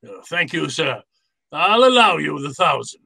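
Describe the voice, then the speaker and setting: An actor playing a genteel, wily fat crime boss in old-time radio drama style, with florid, courtly manners. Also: male voice, fairly high pitch, sing-song, creaky, bright, forceful tone, slightly rough, full character voice. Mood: mock courtesy. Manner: florid, courtly, unhurried, chuckling politeness. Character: fat, genteel, wily middle-aged criminal mastermind.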